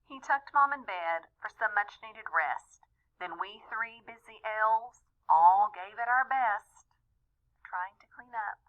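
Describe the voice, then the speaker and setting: A woman reading a rhyming children's story aloud in an expressive, deliberate way, as a storyteller talking to young listeners. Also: mid-range voice, narrow, tinny audio. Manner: animated, deliberate, with small asides to young listeners.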